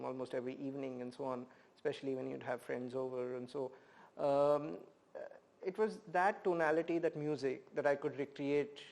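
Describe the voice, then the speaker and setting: A professor and poet from India answers interview questions at length. He speaks in a reflective, measured way, often pausing to find the right word. His voice sits at an ordinary middle pitch. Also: vocal fry, a little mellow. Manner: thoughtful, measured, many "uh" pauses.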